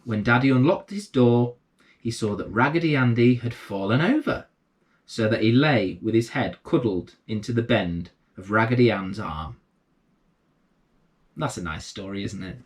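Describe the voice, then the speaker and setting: A man reads a children's bedtime story aloud as its host. His voice sits at an average male pitch and moves up and down in a lively way. The delivery is expressive and aimed at little listeners. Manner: expressive, addressing young children.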